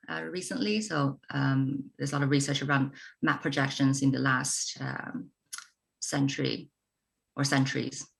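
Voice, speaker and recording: A woman from China, an artist guest speaking in a thoughtful panel conversation with many pauses and ums. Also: low-pitched, vocal fry, a bit bright.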